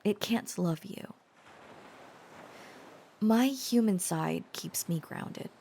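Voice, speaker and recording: A woman playing a tender, protective dragon-blooded girlfriend in an intimate romantic audio roleplay, halting and earnest, often slipping into whispers. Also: mid-range voice, expressive, mellow, slight background noise. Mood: earnest, confessional. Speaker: voice actor.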